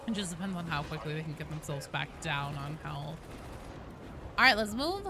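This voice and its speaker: A woman's voice: deep voice, lively intonation, normal speed. A woman giving casual, sweary live commentary while streaming a game. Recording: clearly noisy background.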